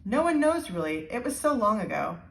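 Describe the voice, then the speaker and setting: A woman voicing a grave old island handyman telling a storybook tale in a measured way. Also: medium pitch, sing-song, creaky, recorded from a distance, pitched above the performer's own voice. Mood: wistful, dismissive. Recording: roomy, echoing indoor sound.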